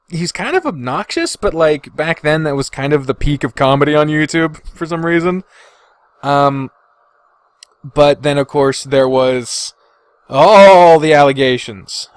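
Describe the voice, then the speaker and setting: A man's voice: fairly high pitch, clear, resonant tone, no echo. A man hosting a podcast, giving blunt, casual opinions with plenty of swearing and exasperated emphasis. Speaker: podcast host.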